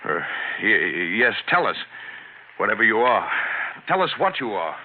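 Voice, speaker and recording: An actor playing a shaken man in a 1970s radio drama, urgent but trying to keep calm. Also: fairly low pitch, unhurried. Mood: tense. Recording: tinny noisy old radio broadcast.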